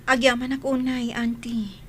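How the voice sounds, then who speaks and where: An actress playing a meek, grateful young waitress in a radio drama, speaking politely and hesitantly. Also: female voice, on the high side, flat delivery, measured pace, a bit bright, slight background noise.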